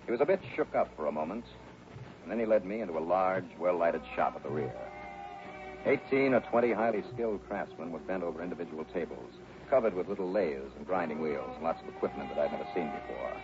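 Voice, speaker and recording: Casting: a hard-boiled American detective, brisk and wry, big swings in pitch, muffled vintage broadcast. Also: male voice, lively intonation. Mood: brisk narrating. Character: wry, confident male private investigator narrating his case. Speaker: lead actor and narrator in a radio detective drama. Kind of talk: 1960s radio detective drama acting. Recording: dull vintage radio recording with music.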